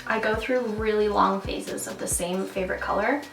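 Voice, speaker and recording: A bubbly young Canadian woman with a mid-range voice rambles happily, dropping in lots of fillers. Her voice sits some distance from the mic in an echoey room, with soft music playing behind. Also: medium pitch, fairly even intonation, normal speed. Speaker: vlogger. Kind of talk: casual chat.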